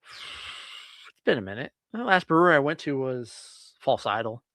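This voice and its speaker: A man co-hosting a podcast, rambling on at an easy pace from his home office. His voice sits at a middle pitch and he swears now and then. The sound is dry and close. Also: very animated, unhurried, sharp tone, dry recording. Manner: rambling, laid-back, swears casually. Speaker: podcast co-host. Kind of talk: casual chat.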